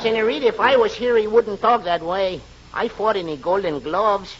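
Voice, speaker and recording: An actor playing an excitable, boastful comic Mexican man with a Mexican accent in 1940s radio comedy. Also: sits high, moderate pace, breathy edge. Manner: exaggerated comic delivery. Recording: noisy, dull old radio recording.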